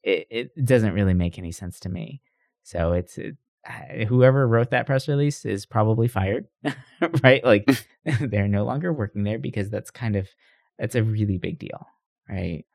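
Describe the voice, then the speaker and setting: A man with a fairly low voice delivers casual news commentary as a podcast host. He speaks conversationally, often checking in with rhetorical tag questions. The recording is dry and close, with little room sound. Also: normal speed, gravelly, a little mellow, no echo.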